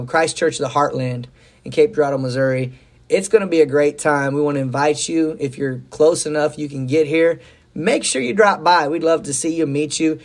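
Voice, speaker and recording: Hoarse man, folksy pastor chat. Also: on the high side. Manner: rambling, folksy, enthusiastic. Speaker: pastor and podcast co-host. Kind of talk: casual faith talk.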